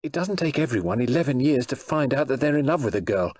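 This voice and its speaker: Male narrator as woman in her thirties, audiobook fiction: husky, direct, strong-willed, lively intonation. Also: medium pitch, fast talker, no echo, studio quality, pitched above the performer's own voice. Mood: defiant. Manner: direct, firm.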